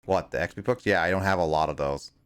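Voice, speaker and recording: Rambling low-voiced young man streaming a game. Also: fast, slight background noise. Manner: rambling, self-mocking, stumbles over names. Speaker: streamer playing a video game. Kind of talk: casual livestream chat with viewers.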